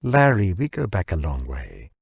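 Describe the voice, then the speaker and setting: A man's voice: low-pitched, wildly swooping pitch, moderate pace, gravelly, husky, dry recording, clean studio sound, exaggerated voice. Man acting an agitated, pressing company boss. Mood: conciliatory. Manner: urgent, pressing, staccato. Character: agitated, wheedling male company founder.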